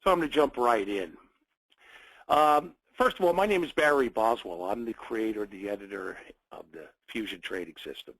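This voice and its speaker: Low, husky man hosting a webinar. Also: animated, normal speed, a bit bright, no room sound, rough recording. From United States. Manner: friendly, welcoming, speaks in short phrases with fillers. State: nasal from a cold. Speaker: webinar host, trading educator. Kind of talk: live webinar presentation.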